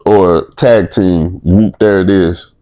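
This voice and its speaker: A man with a deep voice hosting a podcast interview, relaxed and upbeat, laughing often. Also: slow pace. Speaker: podcast host. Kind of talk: casual interview chat.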